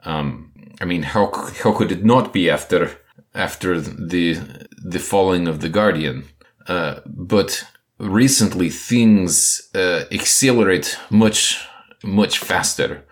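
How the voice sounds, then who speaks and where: A man doing an earnest villager translator for a tabletop game, speaking halting, broken English and dropping his articles. The voice sits very low and moves slowly and deliberately.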